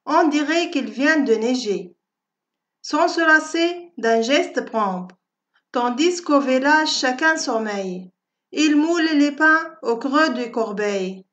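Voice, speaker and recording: A woman reciting a poem for schoolchildren in a measured, deliberate, carefully phrased way. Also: a little high, fairly bright tone. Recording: dull, lo-fi audio.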